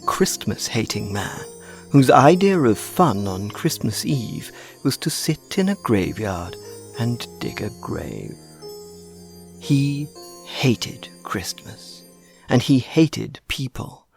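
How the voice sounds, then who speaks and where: A man narrating a children's story in a theatrical, deliberate, dramatic style. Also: mid-range voice, very animated, creaky, faint music behind.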